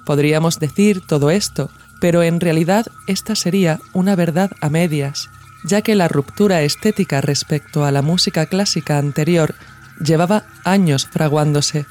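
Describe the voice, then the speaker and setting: A woman's voice: low voice, moderate pace, darkish tone. Woman narrating an essay over music. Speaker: podcast host and essayist.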